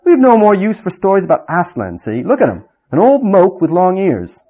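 A man voicing a gruff, scornful male dwarf in a read-aloud story, talking coarsely and with contempt. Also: sits high, wildly swooping pitch, normal speed, mellow, lo-fi sound, voice pushed up, exaggerated voice. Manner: scornful, coarse, common-sounding.

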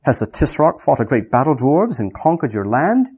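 A man's voice: medium pitch, very animated, normal speed, darkish tone, husky, muffled recording. A man voicing a noble male king in a read-aloud story, speaking in an earnest, commanding way.

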